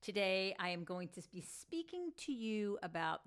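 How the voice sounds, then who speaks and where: A woman's voice: mid-range voice, sing-song, moderate pace, clean tone. A woman with a Long Island New York accent hosting an informal solo talk as a teacher, emphatic and repeating phrases for stress. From Long Island, New York, United States. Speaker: podcast host and yoga philosophy teacher. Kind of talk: informal solo spoken lecture.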